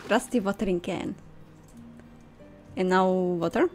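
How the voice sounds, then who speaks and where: A young woman hosting a video game playthrough, chatting casually in an excitable way. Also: fairly low pitch, measured pace. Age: young adult. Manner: giggly, excitable. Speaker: gaming video host. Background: game music.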